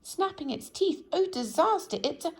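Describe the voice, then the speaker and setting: A woman's voice: completely flat delivery, extremely slow, creaky, mellow, heavily acted. A woman voicing a timid, jittery little man in a children's storybook who shrieks and stammers when frightened. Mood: terrified. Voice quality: shrieking, high and squeaky. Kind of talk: storybook read-aloud.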